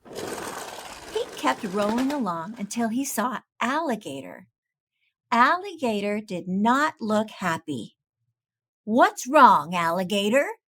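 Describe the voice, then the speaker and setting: A woman's voice: a little high, sing-song, slow pace, a bit bright, breathy edge. A woman reading a children's picture book aloud, expressively and with careful enunciation. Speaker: storybook reader.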